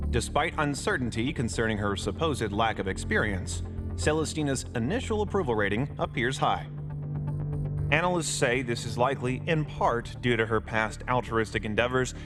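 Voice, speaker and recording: A man's voice: medium pitch, lightly acted. Smug space news anchor over music, a man acting. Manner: polished announcer delivery, snide. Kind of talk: parody newscast in a video game.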